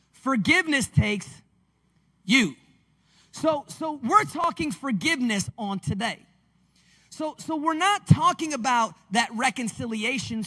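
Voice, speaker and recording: A man, preaching a lively, conversational sermon on a church stage into a handheld mic. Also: extremely high pitch. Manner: animated, conversational preaching with call-and-response. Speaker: pastor. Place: large church stage with screens. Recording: long reverb of a large hall.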